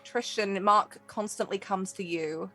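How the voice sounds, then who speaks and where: A woman's voice: medium pitch, fairly even intonation, unhurried, bright, forceful tone, soft background music. A woman running a tabletop game as game master, improvising narration in loose, meandering phrases.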